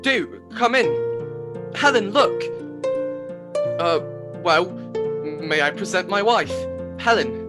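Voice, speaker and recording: A man playing a warm, flustered young nephew hosting a dinner in a radio play. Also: high voice, animated. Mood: astonished, flustered. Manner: halting, then hearty. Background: music.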